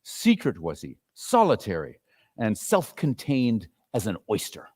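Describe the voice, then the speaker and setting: A man's voice: fairly low pitch, huge pitch swings, measured pace, a little mellow, breathy edge. A man narrating a classic story as a dramatic reading, with a theatrical, emphatic delivery. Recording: reverberant hall, live reading.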